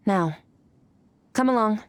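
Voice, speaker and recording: A woman's voice: mid-range voice, slight background noise. A woman voicing an aloof, powerful young warrior in a video game, speaking in a cool, detached, condescending way. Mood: cold, firm. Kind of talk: scripted video game voice acting. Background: soft game music.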